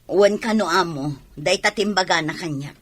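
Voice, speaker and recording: A woman's voice: fairly low pitch, normal speed, breathy edge. A woman playing a sceptical, defensive middle-aged mother of a young athlete in a radio drama, with an Ilocano accent. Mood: earnest.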